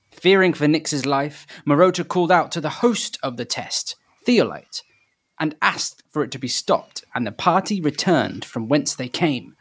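Man reading a fantasy game recap. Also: fairly high pitch. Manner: measured storytelling delivery. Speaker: player and recap narrator.

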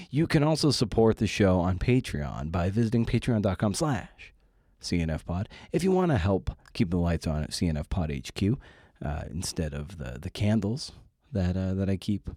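Low-voiced, mellow man hosting a podcast. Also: slightly rough, no room sound, clean studio sound. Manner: slangy, irreverent, self-deprecating. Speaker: podcast host. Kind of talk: casual podcast intro monologue.

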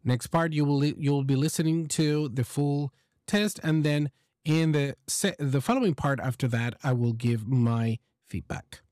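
A man's voice: a little high, normal speed, darkish tone, no echo. A man hosting and explaining to listeners in an informal way, often repeating and rephrasing himself. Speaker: host, English exam teacher giving feedback. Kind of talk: casual explanatory podcast-style talk to listeners.

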